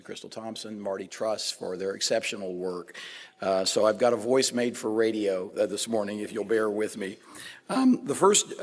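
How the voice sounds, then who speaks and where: A man with a hoarse, raspy voice reads a formal introduction at a podium microphone. He halts often with "uh" and "um". A long reverb from the big hall hangs behind his words. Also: medium pitch. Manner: halting, with many "uh" and "um" fillers. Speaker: bar officer introducing a speaker. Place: large banquet hall.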